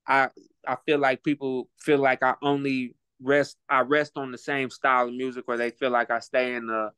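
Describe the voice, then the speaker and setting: A man's voice: medium pitch, little pitch movement, normal speed, bright, forceful tone, smooth, dry recording. A man with an Oklahoma accent, talking as a guest on a casual podcast in a laid-back, reflective way, repeating words while he thinks. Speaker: guest, rapper and boxer.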